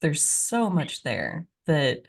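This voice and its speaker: A woman's voice: sits low, wildly swooping pitch, takes it slowly, creaky, breathy edge. A woman hosting a podcast interview, enthusiastic and rambling, with many filler "like"s. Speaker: podcast host.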